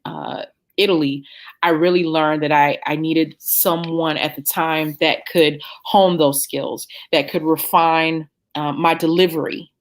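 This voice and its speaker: Woman in her 30s, low voice, chatting thoughtfully about her singing career, lots of ums. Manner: reflective, many "um" fillers and restarts. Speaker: opera singer, interview guest. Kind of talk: conversational interview answers.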